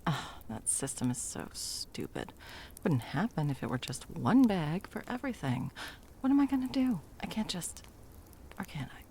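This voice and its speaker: A woman's voice: low voice, very animated, normal speed, dark tone, a little hiss or hum. A woman playing a frazzled, sarcastic, foul-mouthed Christmas delivery elf in a whimsical comedic ASMR roleplay, muttering and often dropping to whispers. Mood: flustered muttering, then scheming, anxious.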